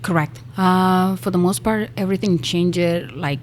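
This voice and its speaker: Soft-spoken Venezuelan-born woman, hesitant. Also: lowish voice, moderate pace, a little hiss or hum. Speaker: restaurant owner. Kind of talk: interview answers. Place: restaurant.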